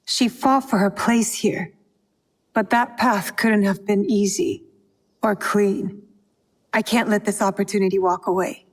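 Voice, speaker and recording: A woman's voice: mid-range voice, steady tone, measured pace, big-room sound, natural voice. A woman playing a guarded, determined young female shinobi bent on revenge in a video game, speaking in a serious, terse way. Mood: brooding, suspicious. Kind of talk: video game cutscene acting.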